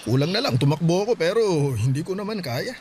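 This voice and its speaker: A man's voice: fairly high pitch, very animated, fast talker, background noise. An actor playing a hardworking father in his forties, speaking tenderly and reassuringly in a radio drama. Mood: gentle. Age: 40s. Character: hardworking widowed father, mid-forties, gentle.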